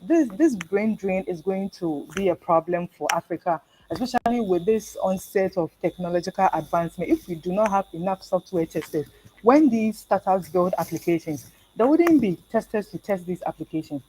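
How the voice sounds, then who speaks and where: A woman giving a prepared talk at an online event over a video call, measured and pausing on frequent fillers. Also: medium pitch, expressive, dark tone, husky, rough recording. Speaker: guest speaker.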